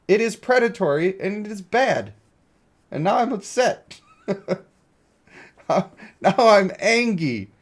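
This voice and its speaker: A man's voice: high-pitched, sing-song, slow, pauses often. A man giving casual, opinionated livestream commentary on a mobile game, blunt and given to exasperated ranting. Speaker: game streamer.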